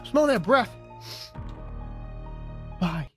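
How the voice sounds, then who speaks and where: A male gamer improvises goofy banter over gameplay. His pitch is high for a man and jumps around a lot, theatrical in delivery, with faint music behind him. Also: big pitch swings, drawn-out words. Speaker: gaming video co-host.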